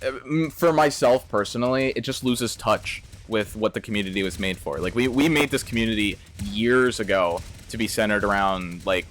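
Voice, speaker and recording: A man's voice: lowish voice, moderate pace, hardly any pauses, a bit bright, a little hiss or hum. A man in his twenties chatting casually on a live stream, reading out chat questions and answering in slangy, profane banter. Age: 20s. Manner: slangy, reads chat aloud, swears and laughs often. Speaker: game developer streamer.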